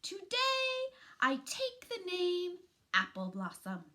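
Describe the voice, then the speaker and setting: A woman's voice: extremely high pitch, wildly swooping pitch, slow, pushed and bright, pitched above the performer's own voice, heavily acted. A woman voicing a tiny, curious young girl possum with an earnest manner in a children's storybook. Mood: proud.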